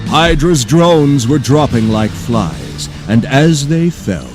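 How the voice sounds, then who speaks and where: A man narrating a scripted audio drama in a dramatic, deliberate storytelling manner. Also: mid-range voice, big pitch swings, over music.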